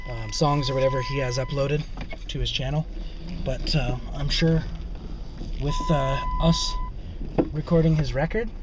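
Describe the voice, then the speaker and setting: A man's voice: on the high side, huge pitch swings, a little slow, pauses often. Rambling man vlogging from a handheld camera. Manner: rambling, filler-heavy, laid-back. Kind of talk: casual vlog chat. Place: grocery store, then inside a car at night. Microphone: handheld camera at arm's length. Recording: loud background noise.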